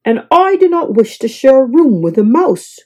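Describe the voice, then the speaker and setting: A woman putting on a loud, grumpy sleepy hotel guest. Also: high voice, big pitch swings, moderate pace. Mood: weary, grumbling. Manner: grumbling, fuming, emphatic.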